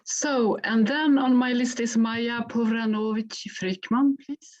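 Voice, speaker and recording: A woman moderating a video call panel, brisk and friendly, calling on speakers. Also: a little high, steady tone, darkish tone. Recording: dull, lo-fi video call audio.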